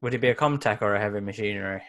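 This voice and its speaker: A man's voice: lowish voice, rapid-fire, a bit bright. A man playing a tabletop game, bantering casually and weighing in bluntly and decisively.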